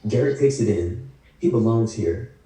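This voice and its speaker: Very mellow male narrator, echoey room. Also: on the low side, moderate pace. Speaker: narrator reading stage directions. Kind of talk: scripted narration. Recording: echoey room, distant video call mic.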